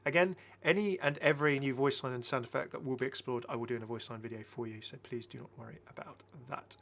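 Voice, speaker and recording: A man in middle age hosts a gaming video in a medium-pitched voice. He talks casually and with enthusiasm, circling back to repeat phrases as he comments on what he is showing. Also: steady tone, fast, vocal fry, a bit bright. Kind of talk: casual game skin showcase commentary.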